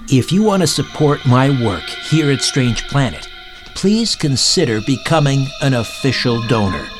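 A man's voice: medium pitch, big pitch swings, a little slow, over music. A man hosting an interview podcast, speaking as a radio presenter to guests and listeners. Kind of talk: interviewing guests and scripted show sign-off.

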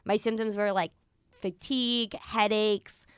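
A young American woman telling a personal story in a casual, animated, rambling way, acting out bits of dialogue. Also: mid-range voice, unhurried, smooth, dry recording. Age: young adult. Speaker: podcast host. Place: podcast studio.

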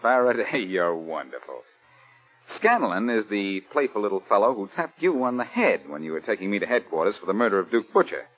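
A wry amateur sleuth from a 1940s radio mystery, low and husky, with teasing, self-assured delivery that swings around in pitch. It sounds like a muffled vintage broadcast. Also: male voice, very animated, brisk. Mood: confident explaining. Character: Blackie, cool wisecracking amateur sleuth, adult man. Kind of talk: 1940s radio detective drama acting. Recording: muffled old-time radio recording.

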